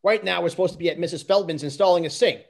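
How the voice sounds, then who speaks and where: A man playing an exasperated, wisecracking plumber in an amateur script read-through, griping sarcastically in a husky, gravelly voice. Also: high-pitched, very fast. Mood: sarcastic griping. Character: exasperated, wisecracking plumber, complaining older brother.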